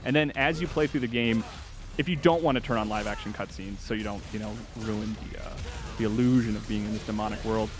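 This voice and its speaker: A man's voice: fairly low pitch, steady tone, quick pace, over music. A man hosting a game show segment, chatting casually and enthusiastically as he explains gameplay. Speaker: video game journalist, host. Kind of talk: casual chat, commentary over gameplay.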